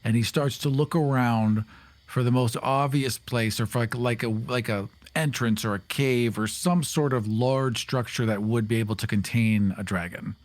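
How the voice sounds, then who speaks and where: A man playing a tabletop game, casually narrating what his character does and thinking out loud as he goes. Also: medium pitch, moderate pace, dry recording. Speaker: player.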